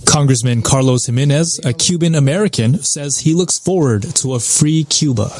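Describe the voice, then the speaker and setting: A man's voice: medium pitch, normal speed, background noise. A man narrating a scripted news report as a reporter.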